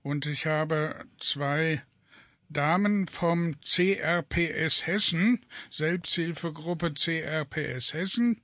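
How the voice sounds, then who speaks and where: A man's voice: a little high, slow, fairly bright tone, no echo. Guy hosting community radio, takes his time, dry close mic. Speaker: radio show host. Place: radio studio. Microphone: studio microphone.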